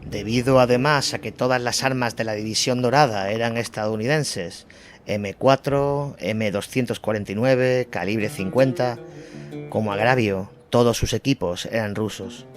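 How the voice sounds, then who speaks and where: A low-pitched male narrator delivers a scripted war chronicle. Music plays clearly behind his voice throughout, as in an audio drama. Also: moderate pace.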